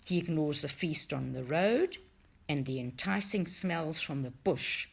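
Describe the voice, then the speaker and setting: A woman reading a book aloud as narrator, with expressive, deliberate emphasis. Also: low voice, vocal fry, breathy edge. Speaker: audiobook reader for a study group.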